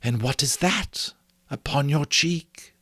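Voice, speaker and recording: A man voicing a solemn, gentle Christmas spirit in a soft, airy, drawn-out voice for a storybook. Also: fairly high pitch, dry recording, studio quality, pitched above the performer's own voice. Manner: slow, drawn-out, otherworldly delivery.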